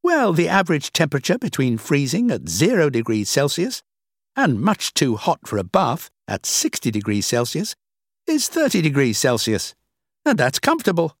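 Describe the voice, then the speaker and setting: A man voicing a calm, matter-of-fact man who explains things reassuringly in an audiobook. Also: on the high side, moderate pace, dry recording, clean studio sound, pitched above the performer's own voice, full character voice. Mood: explaining. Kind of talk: audiobook character acting.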